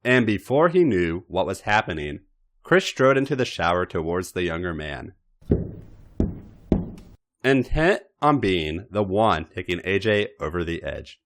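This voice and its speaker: A man reading a story aloud in a dramatic, expressive narrating style, with theatrical emphasis and deliberate pauses. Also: sits low. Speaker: podcast host reading fan fiction.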